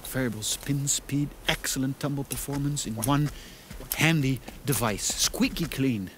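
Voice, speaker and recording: An actor playing an eager, persuasive male salesman who coaxes and flatters in a theatrical way in an animated film. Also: mid-range voice, measured pace, slight background noise, natural voice.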